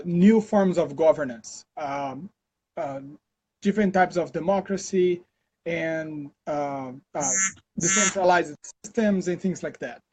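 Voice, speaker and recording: A Brazilian man with a Brazilian accent, answering interview questions in a relaxed, chatty way full of fillers and restarts, over a video call. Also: sits high. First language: Portuguese. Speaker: interview guest, scientist. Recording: dull lo-fi call audio.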